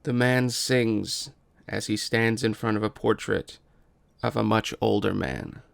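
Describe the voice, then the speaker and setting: A man's voice: lowish voice, steady tone, measured pace, creaky, dry recording. A man reading scripted stage directions as the narrator of a musical.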